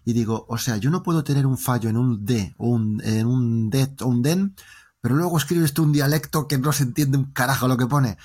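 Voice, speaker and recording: A man with a Spanish accent, pitched in the middle range, chats as a podcast guest. He spins long, meandering anecdotes and adds playful jokes along the way. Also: a little fast. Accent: Spanish from Spain. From Spain. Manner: lively, joking storyteller, talking in long winding turns. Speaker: interview guest. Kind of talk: casual interview conversation.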